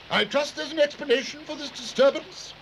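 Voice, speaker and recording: A man's voice: extremely high pitch, creaky, fairly bright tone, slight background noise, exaggerated voice, rough recording. A man voicing a fussy, pedantic and haughty cartoon creature who speaks pompously and precisely. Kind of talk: cartoon voice acting.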